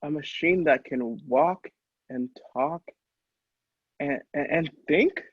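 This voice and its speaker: Guy playing earnest doctor in radio drama, husky n a bit high, lo-fi. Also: slow pace, no room sound. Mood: amazed. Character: astonished, earnest male doctor visiting inventor. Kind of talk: old radio play read-through. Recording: dull lo-fi, dry sound.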